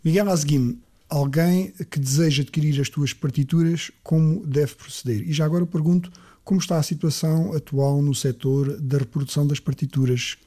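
A man's voice: a little high, steady tone, normal speed, warm tone. A man from Portugal hosting a radio interview, giving formal introductions and asking prompting questions.

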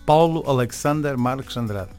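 A man's voice: medium pitch, normal speed, warm tone. A young man with a Venezuelan-tinged Beira Portuguese accent, a musician and barber talking as an interview guest, hesitant with many restarts. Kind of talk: casual interview talk. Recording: faint music behind.

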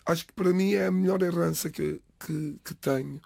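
A middle-aged man from the Lisbon area whose first language is Cape Verdean Creole, giving a halting, reflective personal account in an interview. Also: a little high, fairly even intonation, gravelly, mellow, no echo, studio quality. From Portugal, Lisbon area. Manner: halting, restarts phrases, pauses between words.

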